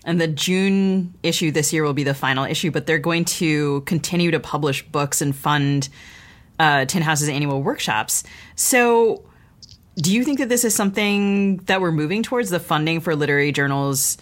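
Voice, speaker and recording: A woman co-hosting a literary podcast, asking lively, upbeat questions. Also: low voice, normal speed. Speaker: podcast co-host. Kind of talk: casual interview questions.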